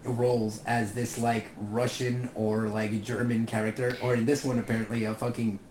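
A man's voice: medium pitch, moderate pace. Swearing young man in an echoey room. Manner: swears often, animated ranting. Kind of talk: casual chat. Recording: echoey room with faint ambient noise.

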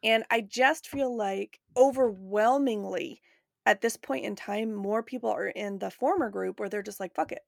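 Female podcast host, slightly high pitch with marked vocal fry, informal and candid, recorded dry. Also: moderate pace, no room sound. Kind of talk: casual conversational interview.

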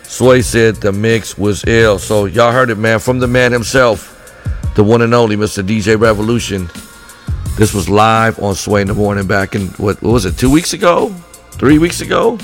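A male radio host whose voice is low and going hoarse. He talks in loose, hyped banter with his co-host. Faint hip hop music sits behind him. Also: normal speed. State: losing his voice.

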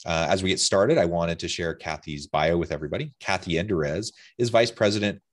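Deep-voiced male podcast host. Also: brisk. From Utah. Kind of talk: podcast interview.

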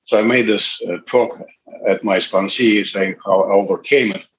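A man in his late fifties from Russia, speaking, gives a candid, halting personal testimony at a recovery meeting. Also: fairly low pitch, steady tone, highs cut off, background noise. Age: 50s. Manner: candid, halting, pauses often.

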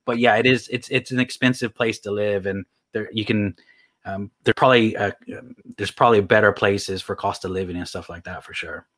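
A man's voice: lowish voice, fairly even intonation, fairly quick, fairly bright tone. A man in his thirties with a mixed Texan, Irish and British accent, a guest talking casually about online marketing, rambling with many restarts. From United States. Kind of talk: casual interview talk.

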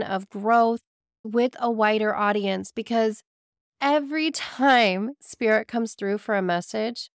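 A sick woman with a raspy, weak voice talks to her listeners in an earnest, soothing way. Her voice sits a little high, and the sound is close and dry. She is a psychic medium hosting a spiritual podcast. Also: dry recording. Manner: earnest, gently reassuring, addresses listeners fondly. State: sick, hoarse. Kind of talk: casual spiritual podcast monologue.

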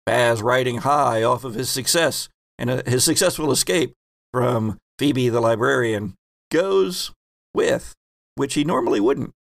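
Man, game master, gravelly voice, slow halting narration, dry close sound. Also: mid-range voice, lively intonation, no room sound.

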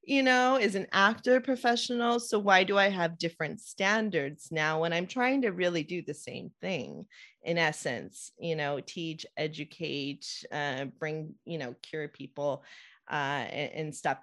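A woman from the United States hosting a podcast, talking in an animated, excitable way with plenty of fillers. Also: deep voice, a little slow. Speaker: podcast host, nurse practitioner. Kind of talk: casual podcast interview.

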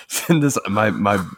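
A very deep-voiced man hosts a casual podcast with a playful, chuckling manner. His intonation swings widely. The sound is dry and close. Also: slightly rough, no room sound. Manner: chuckling, playful, stumbles into restarts. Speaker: podcast co-host.

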